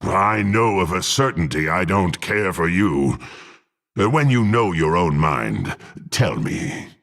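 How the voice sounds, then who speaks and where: A menacing half-orc warrior, with a very deep, gravel-filled and raspy voice. He speaks slowly and haughtily, dripping scorn as in a fantasy video game. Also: animated, fairly bright tone, heavily acted. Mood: mocking scorn. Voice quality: gruff, gravelly.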